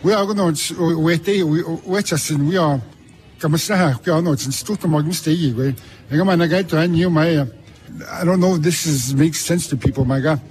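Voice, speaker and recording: Halting man with a higher voice. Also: a little mellow. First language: Cree. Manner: halting, many restarts and repeated words. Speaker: author being interviewed. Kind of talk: casual interview talk.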